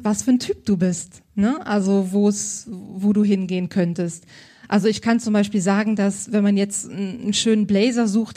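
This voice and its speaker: A woman's voice: medium pitch, dark tone. A woman working as a style consultant, answering interview questions in an enthusiastic, colloquial way.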